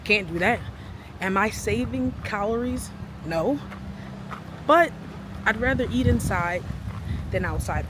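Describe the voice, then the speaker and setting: A woman's voice: medium pitch, heavy background noise. A woman in her thirties talking casually to the camera in a rambling, chatty vlog, laughing between thoughts. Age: 30s. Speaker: vlogger.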